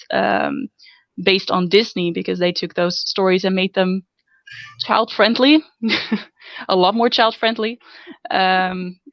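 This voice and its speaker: A woman's voice: medium pitch, no room sound. Woman, Dutch accent, young adult, casual host, self-deprecating, dry close sound, muffled. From Netherlands. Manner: chatty, self-deprecating, laughs often. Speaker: podcast host. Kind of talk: casual group podcast chat.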